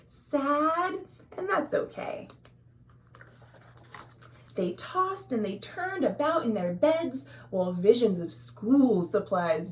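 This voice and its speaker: An American woman hosting a storytime, reading a picture book to young children expressively with sing-song phrasing and explanatory asides. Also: fairly high pitch. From USA. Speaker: children's librarian and storytime host. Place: at home.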